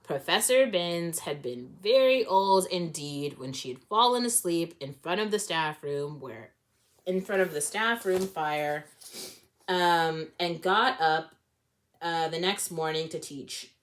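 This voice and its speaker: Female read-along narrator, slightly low voice, lively intonation, expressive with the odd self-correction. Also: a little slow. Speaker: host reading a book aloud. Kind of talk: storybook read-along narration with casual asides.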